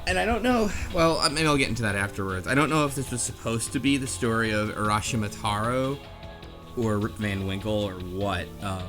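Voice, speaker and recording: A man co-host chatting casually in a halting, rambling way. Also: lowish voice, animated, over music. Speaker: podcast co-host. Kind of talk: casual chat.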